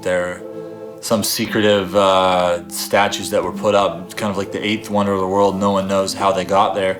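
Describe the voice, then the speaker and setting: A man's voice: deep voice, level tone, brisk, music in the background. A man talking off the cuff in interview style as a co-presenter on a travel series. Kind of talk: unscripted interview-style talk.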